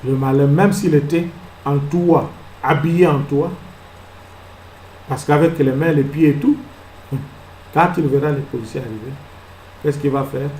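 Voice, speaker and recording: A man preaching a sermon as pastor. He fires rhetorical questions, moves between soft asides and loud exclamations, and acts out little scenes. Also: fairly high pitch, a little slow, pauses often, echoey room, slight background noise, low-quality recording. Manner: acts out little scenes and laughs at his own jokes.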